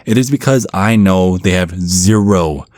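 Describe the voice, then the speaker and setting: Man playing a deep-voiced, brooding vampire bodyguard. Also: measured pace, darkish tone, no echo. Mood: bitter, stern. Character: grumpy, fiercely protective centuries-old male vampire bodyguard. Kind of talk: intimate ASMR roleplay monologue.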